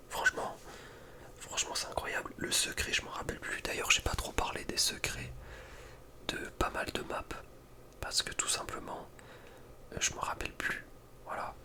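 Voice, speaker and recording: A man speaking in a breathy whisper, giving informal, slangy ASMR commentary as a gaming video creator. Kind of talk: whispered ASMR casual commentary ranking video game maps.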